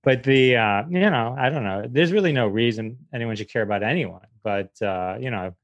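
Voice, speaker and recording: A man's voice: mid-range voice, moderate pace, no room sound, lo-fi sound. Wry man, comedian guest, dry sound. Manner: wry, self-deprecating. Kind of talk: casual interview banter.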